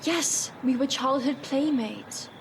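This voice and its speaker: An actress playing a gentle, humble young Victorian woman in an audio drama, polite and restrained but firm. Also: high voice, takes it slowly, vocal fry, heavily acted. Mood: distressed, firm. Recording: noisy outdoor ambience behind.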